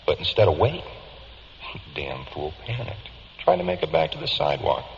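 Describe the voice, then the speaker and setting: A hard-boiled morgue man speaks in a very deep, gravelly voice. His delivery is sardonic, blunt and colloquial, in old radio drama style, with a long ringing reverb around it. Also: wildly swooping pitch, normal speed, exaggerated voice. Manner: sardonic, matter-of-fact, colloquial. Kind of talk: vintage radio drama acting, clipped report. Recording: dull, hissy recording in echoing hall.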